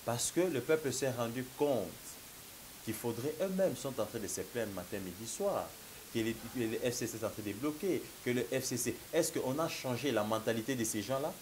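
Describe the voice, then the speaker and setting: A man's voice: medium pitch, darkish tone, low-quality recording. A man in his thirties with a Congolese French accent and a slight cold, arguing as a political analyst in an emphatic studio debate. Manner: emphatic, gesturing, repeats "mon cher ami". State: has a cold. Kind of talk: political talk show debate. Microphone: lapel. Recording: faint hiss.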